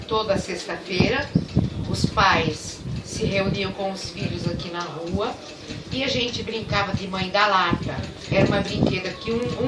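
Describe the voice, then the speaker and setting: An older woman recounts her childhood games with fond, easy storytelling. She is recorded from a distance in a reverberant room. Loud background noise sits beneath her voice. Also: medium pitch. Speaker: interviewee.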